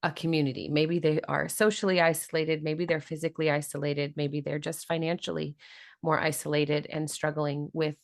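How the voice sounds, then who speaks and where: Low-voiced woman hosting a reflective talk. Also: little pitch movement, moderate pace, creaky. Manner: reflective, thinks aloud in long questions. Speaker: podcast host. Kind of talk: casual interview conversation.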